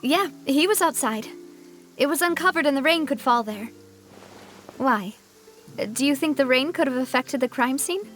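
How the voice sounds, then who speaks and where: A spirited young lady leader from a video game, with a high voice. She sounds earnest, proud and determined, delivering lines expressively. Music runs clearly underneath. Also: animated, normal speed, pauses often, fairly bright tone. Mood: pensive. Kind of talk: video game cutscene acting.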